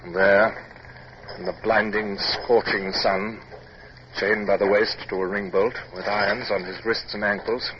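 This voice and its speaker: Man as deep-voiced, dignified British naval captain. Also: flat delivery, unhurried, natural voice. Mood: grave narration. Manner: clipped, formal, reserved. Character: proud, dignified British naval captain, narrating his own adventures. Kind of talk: old radio drama acting and narration. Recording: muffled, noisy old radio recording.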